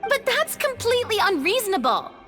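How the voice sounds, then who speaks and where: A woman's voice: extremely high pitch, sing-song, brisk, pushed and bright, faint music behind, heavily acted. A woman playing a young, wary adventurer in a game, speaking earnestly. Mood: surprised, indignant.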